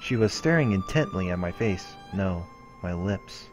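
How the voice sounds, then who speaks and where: A man's voice: deep voice, lively intonation, moderate pace, lo-fi sound. A man hosting a let's play, reading a visual novel's narration aloud like a storyteller. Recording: music under the voice. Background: game background music.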